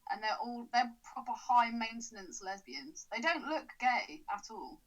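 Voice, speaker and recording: A woman's voice: fairly high pitch, animated, unhurried, rough recording. A young English woman co-hosting a podcast, talking bluntly and swearing freely in casual chat. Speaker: podcast co-host.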